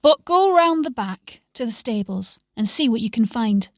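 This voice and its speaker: A woman's voice: fairly high pitch, animated, normal speed, no room sound. Harried male innkeeper, voiced by a woman, storybook character, blunt, curt, grudging, dry sound. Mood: exasperated.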